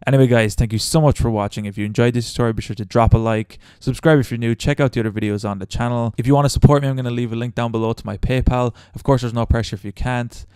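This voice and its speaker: A man's voice: mid-range voice, fairly even intonation, quick pace, few pauses, darkish tone, clean tone, no room sound, clean studio sound. A young man hosting a casual step-by-step tutorial as a voice-over. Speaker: tutorial host.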